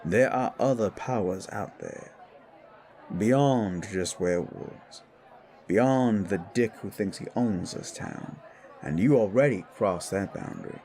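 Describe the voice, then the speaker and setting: A man voicing a worldly, protective supernatural mentor in an audio drama, measured and admonishing as he speaks. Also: on the low side, darkish tone, a faint crowd. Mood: grave, explaining. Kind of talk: audio roleplay dialogue.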